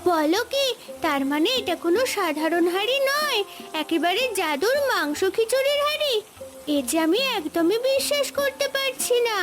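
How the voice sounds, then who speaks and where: Very high-pitched little village girl. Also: sing-song, moderate pace, music in the background. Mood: amazed. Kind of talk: cartoon voice acting.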